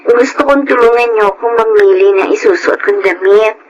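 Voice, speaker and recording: A fairly high female voice with a Filipino accent , an actress as a kindly lady of the house. She is polite and easily swayed, in radio drama style. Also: normal speed, highs cut off, crowd noise, natural voice. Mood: friendly.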